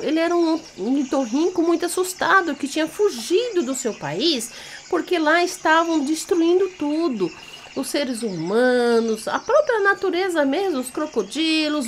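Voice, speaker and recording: A woman's voice: high-pitched, a little hiss or hum. A woman with a Brazilian accent telling a children's story off the cuff, in a theatrical, teacherly way, checking in with young listeners. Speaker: children's storyteller and party entertainer.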